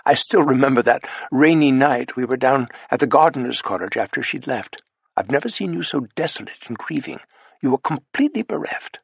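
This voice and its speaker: A man voicing a gracious, affectionate aristocratic hostess who speaks softly and fondly. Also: mid-range voice, expressive, fairly quick, gravelly, breathy edge, no echo, dull audio.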